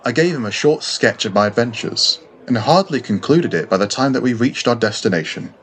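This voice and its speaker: A man reading a classic first-person story as scripted narration, in a measured, formal way. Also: mid-range voice, lively intonation, a bit bright. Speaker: audiobook narrator.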